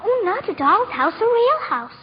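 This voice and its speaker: An earnest little girl, with a very high voice and a precocious, matter-of-fact manner. She is a skeptical child in a 1940s radio drama, heard on a dull old recording with faint hiss. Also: very animated, normal speed. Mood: hopeful.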